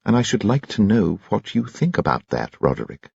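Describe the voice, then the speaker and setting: A man's voice: lowish voice, sing-song, creaky, a little mellow, breathy edge, dry recording, highs cut off, voice pushed up, full character voice. A man voicing a spirited, teasing elderly society mother who talks emphatically. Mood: playful. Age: older. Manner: brisk, emphatic, playful.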